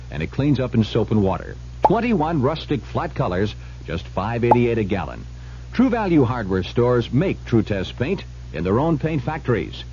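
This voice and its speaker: A man announcing a scripted retail radio commercial as an itemised sales pitch. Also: dark tone. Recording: muffled vintage radio with faint hum.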